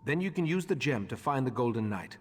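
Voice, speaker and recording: A calm, grave mystic leader from a fantasy game. His delivery is formal and measured, with a slightly husky grain and a long hall reverb. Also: mid-range voice. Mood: grave, composed. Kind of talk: video game voice acting.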